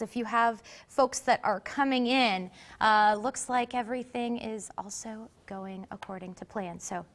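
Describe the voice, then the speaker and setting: A woman's voice: a little high, fairly bright tone. An American woman working as a local TV news anchor, presenting to viewers.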